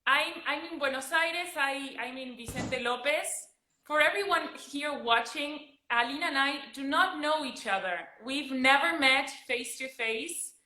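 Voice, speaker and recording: A woman's voice: high-pitched, a little slow, pushed and bright. A woman from Argentina with an Argentine accent, a drama teacher co-hosting an upbeat casual chat over a video call. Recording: roomy video call audio.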